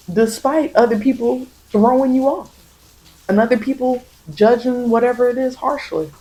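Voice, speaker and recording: An American woman giving soft-spoken ASMR spiritual guidance as a tarot reader, meandering and repeating phrases to reassure. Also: mid-range voice, rough recording.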